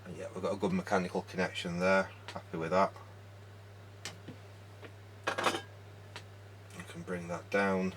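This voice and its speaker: A man's voice: deep voice, flat delivery, a little slow, pauses often, a bit bright, slight background noise. A man giving casual, hands-on repair commentary, muttering informally step by step as he works. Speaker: hobbyist electronics repairer and presenter.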